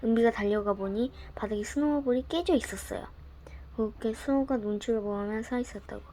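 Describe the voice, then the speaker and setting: A young woman gently reading a bedtime story aloud, often dropping close to a whisper. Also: a little high, a little fast, slight background noise. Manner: gentle, soft-spoken, often near a whisper.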